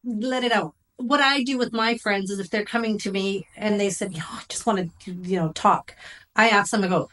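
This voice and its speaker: A middle-aged woman speaking as a therapist guest in a relaxed interview. She talks in loose, looping sentences and keeps adding "you know" and "right?" as she explains. Her pitch sits in the middle of the range. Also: brisk, low-quality recording. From Greek family background. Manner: chatty, repetitive, says "you know" and "right" often. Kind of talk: casual interview conversation.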